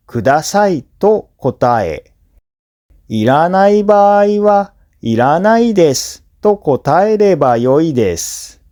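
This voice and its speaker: A man who is a native Japanese speaker, reading scripted listening practice for learners in a clear, simple explanatory way. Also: fairly high pitch, dark tone. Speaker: language teacher and narrator.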